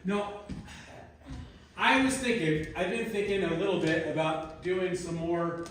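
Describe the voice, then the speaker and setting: A male pastor preaching a relaxed, joking sermon and asking his congregation questions, recorded in a church sanctuary from a distance. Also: sits high, measured pace, noisy background. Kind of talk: conversational sermon. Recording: echoey hall, distant mic.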